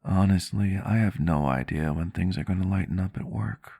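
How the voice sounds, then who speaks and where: A man playing a gentle, courteous vampire doctor in an ASMR roleplay, soft-spoken, caring and formal in his wording, close to the mic. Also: deep voice, moderate pace, creaky, no room sound. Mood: weary, reflective. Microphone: close microphone, dry sound.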